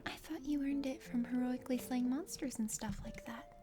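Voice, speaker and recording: A woman's voice: high voice, steady tone, normal speed, over music, lightly acted. A woman voicing a plucky young female knight and bodyguard in a game read-aloud, blunt and sarcastic. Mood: wry, exasperated.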